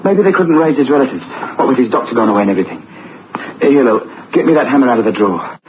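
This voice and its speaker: A man's voice: mid-range voice, expressive, fast, close to an everyday voice. Man acting a desperate young thief, muffled noisy recording. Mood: grim, anxious. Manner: pleading, overwrought. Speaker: actor. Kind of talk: amateur radio play acting.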